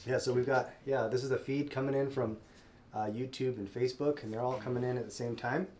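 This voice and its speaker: A man's voice: medium pitch, steady tone, brisk, darkish tone. Man, stuffed up with a cold, live shop stream host, rambling, fillers, faint background noise. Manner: friendly, rambling, many fillers and restarts. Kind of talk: casual live-stream chat.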